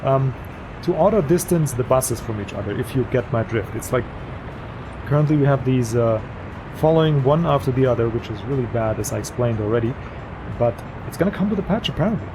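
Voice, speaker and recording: A man who is not a native English speaker, casually commenting on a city-building game as he plays, easygoing and jokey, thinking out loud. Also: medium pitch, expressive, a little fast, background noise. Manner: easygoing, jokey, thinks aloud while playing. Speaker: let's play host.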